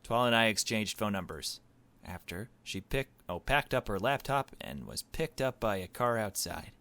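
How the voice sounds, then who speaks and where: A man's voice: lowish voice, expressive, normal speed, a bit bright. A man hosting a gaming video, reading visual novel narration aloud and adding his own commentary.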